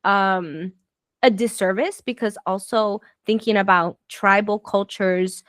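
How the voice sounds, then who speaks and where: A woman's voice: mid-range voice, fairly even intonation, slow pace, a bit bright, dry recording, studio quality. A woman raised in Southern Florida whose first language is Spanish, talking reflectively in a casual conversation, with many fillers and restarts and bursts of exasperation. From United States, raised in Southern Florida.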